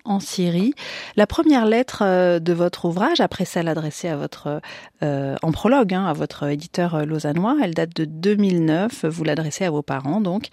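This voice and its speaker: A woman hosting a studio interview, asking long measured questions with frequent fillers. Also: fairly low pitch, mellow, no room sound. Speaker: radio host. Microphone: studio microphone.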